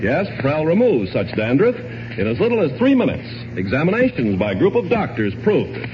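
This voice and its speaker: A male announcer on a muffled old radio broadcast. His high voice swoops dramatically in a classic 1940s sales pitch. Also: huge pitch swings, fairly quick, slight background noise.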